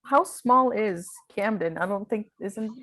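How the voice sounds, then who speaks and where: A woman's voice: mid-range voice, a little slow, a bit bright. A woman conducting an informal interview, feeling her way through tentative, meandering questions. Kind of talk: informal oral history interview.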